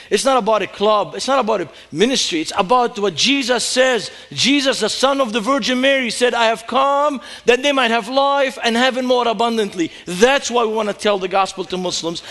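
A middle-aged man with a Lebanese accent, preaching as a guest speaker in a church, animated and emphatic. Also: sits high. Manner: animated storytelling, rising emphasis. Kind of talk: sermon.

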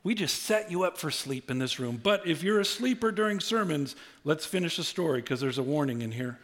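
Man, pastor, middle-aged, sermon, joking and conversational, fairly high voice. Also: expressive, a little fast. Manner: humorous, conversational preaching with big swings in loudness.

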